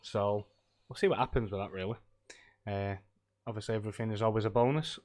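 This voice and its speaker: Croaky man streaming a game. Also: lowish voice, fairly quick, a little mellow. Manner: rambling, loose, many hesitations. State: croaky from a cold. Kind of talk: casual live-stream chat while gaming.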